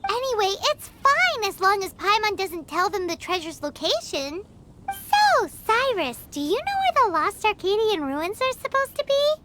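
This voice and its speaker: A woman voicing a tiny, eager, childlike fairy companion in a video game, squeaky and exclamatory. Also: sing-song, normal speed, very noisy. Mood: boastful. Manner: exclamatory, speaks of herself in third person. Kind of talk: animated video game voice acting. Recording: clean voice over loud game music.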